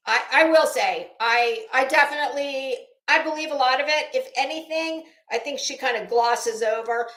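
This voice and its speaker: Female commentary host, sarcastic and sweary, unhurried delivery, casual, recorded in an echoey room. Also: high-pitched, a bit bright. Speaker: online show host. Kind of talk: casual commentary on another online personality.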